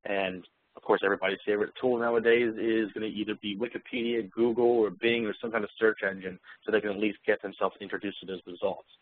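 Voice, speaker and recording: A man giving a live software demonstration, explaining features and speaking voice commands as he goes. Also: on the low side, steady tone, fast, narrow, tinny audio.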